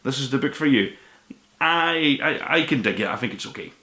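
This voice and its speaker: Male vlog host, young adult, casual and self-deprecating, brisk delivery with a bright edge, informal review style. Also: mid-range voice, expressive. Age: 20s to 30s. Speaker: book review show host. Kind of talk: casual book review to camera. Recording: echoey room.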